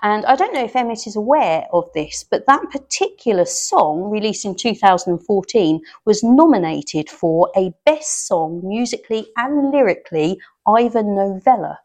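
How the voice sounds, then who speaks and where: A woman presents a music interview show in a conversational way. Her voice sits at a middle pitch, and she comes across as an attentive host talking with a guest between songs. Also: big pitch swings, normal speed, hardly any pauses, darkish tone.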